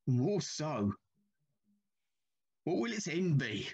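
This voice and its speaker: An actor playing an anxious, haunted older railway signalman in a Victorian ghost story, troubled and halting, with formal old-fashioned speech. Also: a little high, sing-song, darkish tone, husky, highs cut off, heavily acted. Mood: frantic worry.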